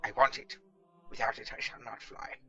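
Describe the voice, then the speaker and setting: A man voicing a muddled, excitable, imperious old wizard with archaic, halting, muttering speech in a storybook reading. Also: mid-range voice, lo-fi sound, soft background music. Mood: excited, insistent. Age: older.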